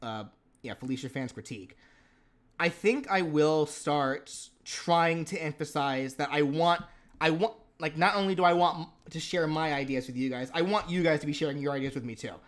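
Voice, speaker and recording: A man's voice: fairly high pitch, normal speed. A man hosting a casual live stream, talking with a stutter, repeating words and restarting his sentences. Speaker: stream host and moveset creator.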